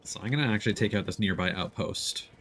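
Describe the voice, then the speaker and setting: A man's voice: lowish voice, fast talker, a bit bright, slight background noise. A man streaming a video game, giving casual live commentary and explaining tactics step by step as he plays. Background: video game sound.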